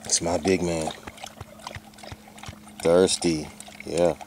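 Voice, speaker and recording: A low, deep male voice around forty talks off the cuff in a loose, folksy ramble. It is a casual vlog recorded outside, with noticeable ambient noise around him. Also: little pitch movement, very slow, a little mellow. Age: about forty. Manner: folksy, colloquial, drifting into asides to his dogs. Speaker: vlogger. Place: outdoors on a hike. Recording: noisy outdoor ambience.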